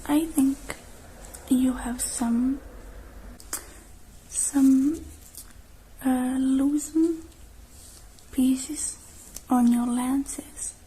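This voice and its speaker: A woman speaking softly and whispering in an ASMR roleplay, gentle and hushed, drawing out her phrases with pauses. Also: high-pitched, slight background noise, poor audio.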